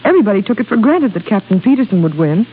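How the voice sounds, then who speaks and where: An actress playing a self-assured, playful young woman, the boss's daughter, with brisk 1930s radio drama delivery. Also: mid-range voice, sing-song, breathy edge. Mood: amused. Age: young adult. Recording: scratchy, narrow 1930s radio recording.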